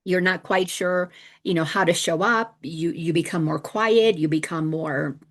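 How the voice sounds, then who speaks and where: Chatty woman teaching, slightly low voice. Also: measured pace. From Dominican Republic. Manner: chatty, warm-hearted storytelling with frequent restarts. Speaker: host and spiritual teacher. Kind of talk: casual spiritual teaching talk.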